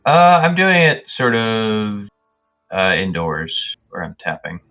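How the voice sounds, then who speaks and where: A man playing a tabletop roleplay game, casually describing his character in halting phrases. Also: lowish voice, very animated. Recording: tinny phone-like line, music underneath. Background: music.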